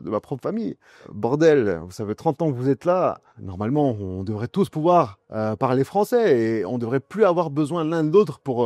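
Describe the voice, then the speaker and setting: A young French man works through his answers in an interview, stopping and restarting as he searches for words. His voice sits in a mellow, darker register. The sound is dry and close, with no room around it. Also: medium pitch, dry recording. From France. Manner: halting, many restarts and fillers. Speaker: guest.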